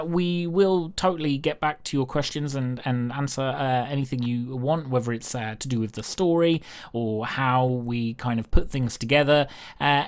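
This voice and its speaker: A man's voice: on the high side, clear, resonant tone, dry recording. A British man hosting and narrating a tabletop roleplaying game as game master, feeling his way through sentences with self-corrections. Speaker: game master and podcast host.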